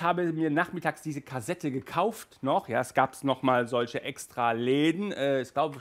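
A man in his thirties with a fairly high voice, hosting a casual review from a small room. He talks in a lively, restless way, stumbling and restarting, and sounds hot and flustered by the heat. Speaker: audio drama reviewer, host. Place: small indoor room with toy shelves.

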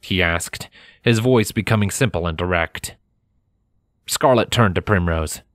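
A man reading scripted fiction as a narrator, measured and expressive in his storytelling. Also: low-pitched, dry recording, studio quality. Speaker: narrator of a fan fiction audiobook. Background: music.

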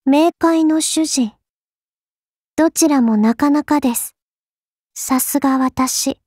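High, poised young space goddess. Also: female voice, a little mellow, no room sound, studio quality. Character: proud young space goddess swordswoman, cool, dignified, easily flustered. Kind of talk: anime game character voice lines.

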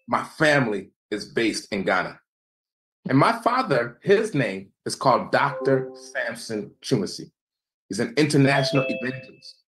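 Young us guy teaching over a video call, slow preachy emphasis, voice trails off at line ends. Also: a bit bright, low-quality recording. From United States. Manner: measured, preacherly, emphatic, drops pitch and volume at phrase ends. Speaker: seminar presenter. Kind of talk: presentation lecture with slides.

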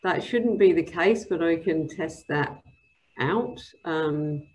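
A woman's voice: fairly low pitch, takes it slowly, a little mellow, low-quality recording. A woman hosting a webinar over a video call, casually relaying questions. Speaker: webinar host.

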